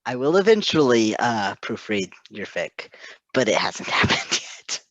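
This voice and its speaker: Lively, self-deprecating man in casual chat. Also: lowish voice, no echo, lo-fi sound. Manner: halting, self-deprecating, giggly. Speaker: co-host, fan fiction proofreader.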